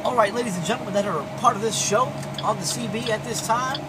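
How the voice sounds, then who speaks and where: A man's voice: sits high, fast talker, background noise. A man hosting a trucker show, chatting casually on CB radio from inside a vehicle.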